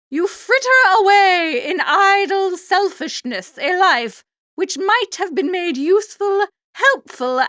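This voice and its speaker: Very high-pitched, imperious older aunt. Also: female voice, a bit bright, no room sound, studio quality, exaggerated voice. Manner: imperious, drawn-out lecturing. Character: domineering upper-class older aunt, scolding and cold. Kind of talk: audiobook character acting.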